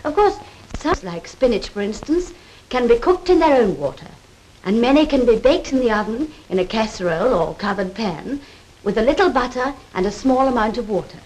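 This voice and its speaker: A woman's voice: fairly high pitch, animated, moderate pace, darkish tone, close to an everyday voice. A woman playing an assured cookery demonstrator in a 1930s British film, speaking precise Received Pronunciation with a proper, instructive manner. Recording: worn, noisy 1930s film soundtrack.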